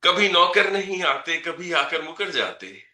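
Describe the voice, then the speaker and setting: A man's voice: a little high, expressive, a little slow, sharp tone, echoey room. A man reciting his own poem at an online poetry gathering, saying each couplet twice and adding short spoken explanations between verses. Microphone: video call.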